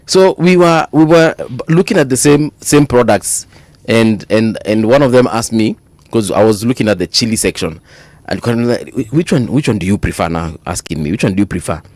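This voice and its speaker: Lively Kenyan man telling a story on radio. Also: fairly low pitch, fairly quick, dry recording. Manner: animated storytelling, mixes Swahili into English, laughs often. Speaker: radio co-host. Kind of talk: casual on-air banter. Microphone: studio microphone.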